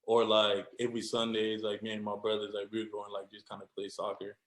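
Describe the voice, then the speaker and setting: Guest, young man from Cameroon: low, flat delivery, relaxed and rambling, echoey room. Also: a little fast. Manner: laid-back, lots of fillers and restarts. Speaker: guest, football player. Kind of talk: casual interview. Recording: roomy echo.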